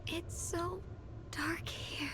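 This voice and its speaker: Very high, frightened young girl. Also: steady tone, whispered, full character voice. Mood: frightened, forlorn. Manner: halting, fearful. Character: frightened, lonely young girl lost in darkness. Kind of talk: video game voice acting.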